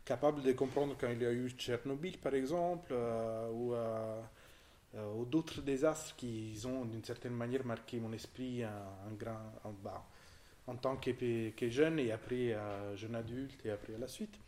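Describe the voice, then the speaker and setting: A man's voice: medium pitch, unhurried, mellow. Hesitant Italian-accented man. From southern Italy. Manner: hesitant, searching for words, many restarts. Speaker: visitor discussing an exhibition. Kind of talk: casual conversation.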